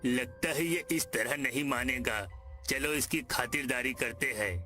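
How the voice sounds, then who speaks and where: A man's voice: mid-range voice, a little slow, close to an everyday voice. A man playing a menacing, greedy village bully in a cartoon story, with a threatening delivery. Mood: scheming. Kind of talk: Hindi cartoon story acting. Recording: music behind the voice.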